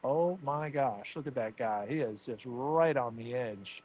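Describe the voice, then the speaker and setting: A man's voice: medium pitch, unhurried, a little mellow. An American man hosting a casual livestream with enthusiastic, conversational live commentary. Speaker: livestream host.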